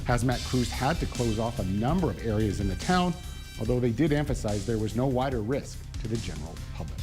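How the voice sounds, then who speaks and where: A Canadian man presenting the local TV weather and news, reading with many "uh" fillers. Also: medium pitch. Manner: lively delivery with frequent "uh" fillers. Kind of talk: live TV weather and news read. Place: local TV studio.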